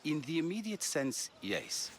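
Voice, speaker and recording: An actor playing a busy, principled ancient Greek physician and teacher in a video game, brusque and didactic. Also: male voice, fairly high pitch, huge pitch swings, measured pace, a little hiss or hum, exaggerated voice. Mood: instructive. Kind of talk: video game cutscene acting.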